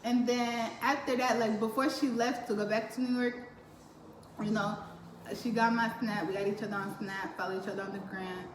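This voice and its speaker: Teen girl, chatty storyteller, mid pitch, roomy echo, faint hiss. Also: fairly even intonation, moderate pace. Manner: chatty, laughing storyteller, acts out quotes. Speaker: vlogger. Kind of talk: casual storytime chat.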